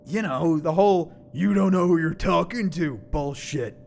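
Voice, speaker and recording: A man's voice: a little high, normal speed, creaky, darkish tone, faint music behind. A man playing a cocky, hot-tempered teenage furry animal boy in an audio drama, brash and sarcastic. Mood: probing.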